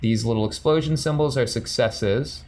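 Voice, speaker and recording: A man at mid pitch running a tabletop game and talking players through dice rules. He is methodical and stops often with ums to correct himself. A faint hiss sits under his voice. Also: moderate pace, a little mellow. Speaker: game master.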